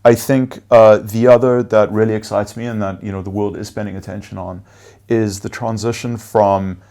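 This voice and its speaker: A man whose first language is Afrikaans, giving measured interview answers as a venture investor, with frequent fillers and listed points. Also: lowish voice, fairly even intonation, vocal fry, warm tone.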